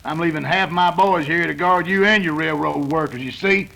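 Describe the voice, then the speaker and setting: A man's voice: a little high, normal speed, creaky, sharp tone, low-quality recording. A man playing a bossy cattle-rustling gang leader with folksy, ungrammatical cowboy talk in an old-time radio western. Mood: threatening.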